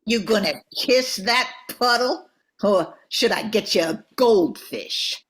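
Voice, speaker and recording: A woman's voice: mid-range voice, takes it slowly, close to an everyday voice. A woman playing a cute, sassy, streetwise nymph in an amateur radio comedy, with some background noise. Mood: sassy, teasing.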